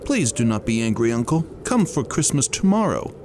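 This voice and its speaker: Storybook nephew, played by a man: cheerful, earnest, pitch lifted and hugely swooping, clear noise behind the voice. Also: sing-song, moderate pace, close to an everyday voice. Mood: pleading. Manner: earnest, bright-spirited pleading. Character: cheerful, pleading nephew of an old miser. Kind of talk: storybook character reading.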